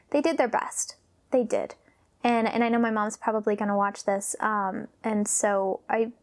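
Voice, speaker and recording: Brief: woman, 30s, a touch high, nervous and hesitant, confessional vlog. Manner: halting, many pauses and sighs, sometimes drops to a whisper. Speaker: vlogger. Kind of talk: personal confessional talk.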